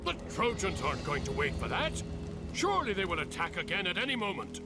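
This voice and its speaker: An actor playing a proud, hot-tempered Greek army commander in a video game, with a grandiose, commanding manner. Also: male voice, sits high, big pitch swings, a little fast, music in the background. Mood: angry. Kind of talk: cinematic video game voice acting.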